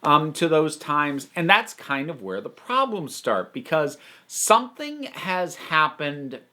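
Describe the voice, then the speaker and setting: Male review host, higher-pitched voice, lively and emphatic, casual delivery. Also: slow pace. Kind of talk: casual review monologue to an audience.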